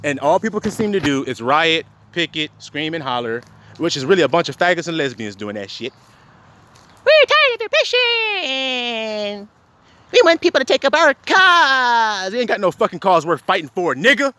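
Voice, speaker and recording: A man's voice: high voice, wildly swooping pitch, moderate pace, bright, forceful tone. A man delivering a profane, confrontational rant as a self-styled preacher, quoting scripture and firing rhetorical questions at his listeners. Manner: confrontational, fires rhetorical questions, heavy swearing.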